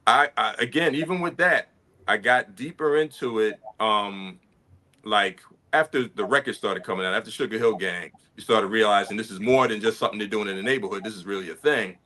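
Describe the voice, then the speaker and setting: A man in his sixties with a bright, forceful voice and a fairly low pitch talks loosely and unhurriedly. He keeps checking in with his listener as he goes. He speaks over a home video call. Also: fairly quick. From Connecticut. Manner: laid-back storytelling, often asks "you know what I mean". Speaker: guest hip hop artist. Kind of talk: casual interview chat.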